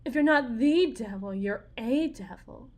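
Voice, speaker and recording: A woman playing a desperate, cunning battered young mother bargaining in a dramatic audio roleplay, halting, wry and theatrical. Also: a little high, animated, a little mellow. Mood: bitter, anguished. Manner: halting, wry, theatrical, with repeated words and pauses.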